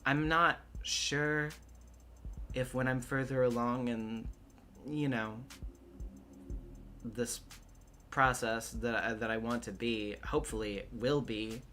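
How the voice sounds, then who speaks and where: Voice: medium pitch, fairly even intonation, slow pace, long pauses, bright, forceful tone, a little hiss or hum. A livestreamer and voice actor with a male-range voice, chatting casually in a hesitant, thinking-aloud way.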